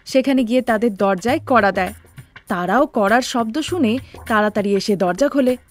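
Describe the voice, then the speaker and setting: A woman's voice: on the high side, normal speed, soft background music. Woman narrating a cartoon story.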